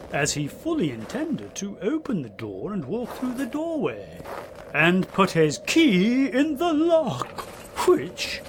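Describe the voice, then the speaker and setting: A man's voice: fairly high pitch, noisy background. A British man narrating a comic parody audiobook in a hammy, mock-spooky storytelling style, with muttered asides.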